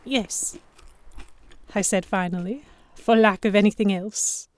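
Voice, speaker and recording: A guarded, anxious young noblewoman, played by a woman, tells her own story in an audio drama. Her narration is literary and measured, at a medium pitch, recorded very dry. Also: fairly even intonation, no echo, natural voice. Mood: uneasy. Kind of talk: first-person dramatic narration, historical romance audio drama.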